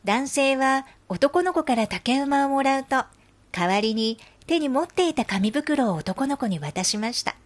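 A woman's voice: sits high, lively intonation, a little slow. A woman reading scripted narration for an educational storytelling and explainer video. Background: music.

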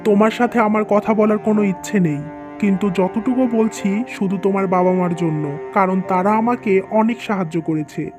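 A woman's voice: medium pitch, moderate pace, music in the background, natural voice. A woman voicing a stern, easily hurt young husband and son in a cartoon drama. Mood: stern, angry. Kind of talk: dubbed cartoon drama dialogue.

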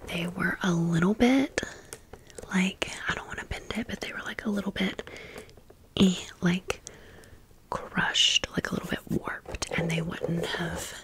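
A young American woman speaks in a breathy whisper, taking her time. She tells a casual ASMR story close to the mic, rambling and dropping in sassy, emphatic asides. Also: lowish voice, a little slow, rough recording. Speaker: ASMR video host.

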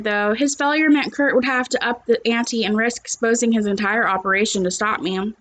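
A woman's voice: a little high, rough recording. A young adult woman, a teacher, reading a story aloud and chatting to children, recorded at home on a computer's microphone. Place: small living room at home, indoors.

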